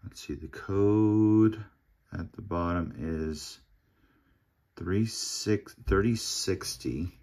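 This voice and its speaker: A man with a deep, low voice talks slowly through a pack opening at his table. He reads out each pull in an easygoing, half-muttered way, as if to himself. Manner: murmurs player names one by one, relaxed and unhurried. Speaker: card collector opening packs. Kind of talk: casual pack-opening commentary. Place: home table with card collection display.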